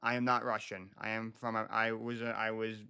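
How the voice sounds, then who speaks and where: Bright-voiced American man, halting livestream chatter. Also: fairly low pitch, completely flat delivery, gravelly, clean tone. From Michigan, United States. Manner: halting, repeats himself often. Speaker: livestream host. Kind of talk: casual chat with livestream viewers.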